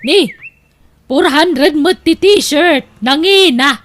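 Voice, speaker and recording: A woman's voice: high voice, extremely slow, fairly bright tone, exaggerated voice. A woman playing a grasping, bossy aunt who coaxes with false generosity in an audio drama. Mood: coaxing, bossy. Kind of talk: Ilocano audio drama acting.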